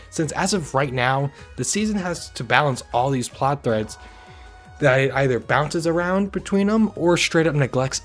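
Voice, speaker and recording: A man hosting a video review, delivering scripted commentary in an informal, opinionated way. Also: medium pitch. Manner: informal, opinionated, occasional swearing. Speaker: host of a video review channel. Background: music under the voice.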